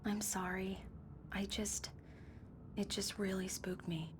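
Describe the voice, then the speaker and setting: A woman's voice: medium pitch, level tone, takes it slowly, noisy background, natural voice. A woman playing an affectionate, teasing wife in a video game, with an intimate, natural delivery. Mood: embarrassed. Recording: music under the voice. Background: background music.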